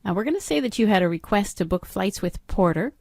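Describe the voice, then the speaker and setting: Woman walking through software steps, plain middle voice, dead dry close mic. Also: medium pitch, expressive, quick pace, creaky, no echo. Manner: step-by-step instructional, matter-of-fact. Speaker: software trainer. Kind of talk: screen-recorded training walkthrough.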